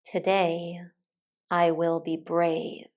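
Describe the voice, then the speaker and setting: A woman teacher leading a guided meditation in a calm, soothing, deliberate way with long pauses. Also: lowish voice. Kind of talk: guided meditation, soft instructional narration.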